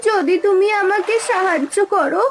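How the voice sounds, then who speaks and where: A woman voicing an injured talking swan in a cartoon fairy tale, pleading in a drawn-out way for help. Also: extremely high pitch, slight background noise. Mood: earnest. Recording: music behind the voice.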